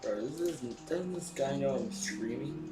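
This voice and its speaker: A man making casual, offhand remarks while streaming a game, quiet under the game's sound. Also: medium pitch, measured pace, over loud music.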